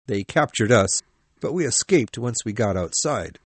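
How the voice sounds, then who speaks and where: A man's voice: medium pitch, animated, measured pace, breathy edge, no room sound. A man playing a proud, loyal musketeer in an audiobook, earnestly protesting to his captain. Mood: earnest, defensive. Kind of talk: audiobook dialogue acting.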